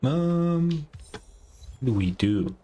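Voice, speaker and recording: A man's voice: a little high, sing-song, very slow, mellow, slight background noise. A man giving blunt, sweary, casual reactions as he streams a game. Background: game music.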